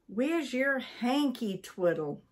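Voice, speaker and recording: A woman's voice: a little high, big pitch swings, slow, voice pushed up. A woman voicing a cross, bossy storybook wife who scolds her husband. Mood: scolding. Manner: scolding, sharp.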